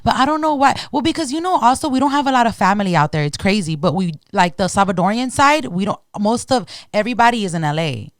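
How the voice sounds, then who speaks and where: A woman's voice: mid-range voice, fast, hardly any pauses, no echo, studio quality. A woman in her twenties from Los Angeles hosting a podcast, chatting casually with lots of slang and laughter. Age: 20s. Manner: slangy, laughs often, animated. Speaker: podcast host.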